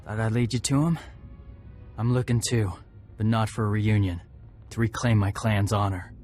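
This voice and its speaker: An actor playing a serious, honor-bound tracker in a video game cutscene, talking in clipped, matter-of-fact phrases. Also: male voice, fairly low pitch, steady tone, moderate pace, darkish tone, soft background music, natural voice. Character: terse, serious male Mandalorian tracker seeking honor.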